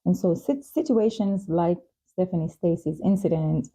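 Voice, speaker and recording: A woman's voice: on the low side, normal speed, warm tone, breathy edge, low-quality recording. A woman hosting a podcast interview, asking questions and responding to her guest. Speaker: talk show host.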